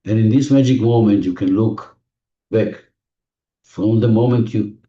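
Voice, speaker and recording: A man leading a guided visualization in non-native English phrasing, giving gentle, repetitive instructions with deliberate pauses, recorded muffled and distant in an echoey room. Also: on the low side. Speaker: guided meditation leader. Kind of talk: guided visualization, spoken slowly to a listener.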